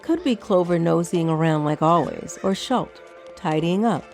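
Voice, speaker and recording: A woman playing a brisk, businesslike wizard who owns a tower, in a fantasy audio drama. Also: fairly low pitch, faint music behind, close to an everyday voice. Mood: brisk, matter-of-fact.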